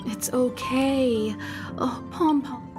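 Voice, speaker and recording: Slow, soothing motherly crewmate. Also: high-pitched. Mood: comforting. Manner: soothing, soft. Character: gentle, motherly, composed adult woman crew member. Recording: music behind the voice.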